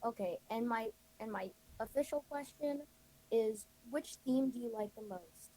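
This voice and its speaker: A female caller leaving a casual voicemail, halting and repeating herself. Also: on the high side, mellow, poor audio.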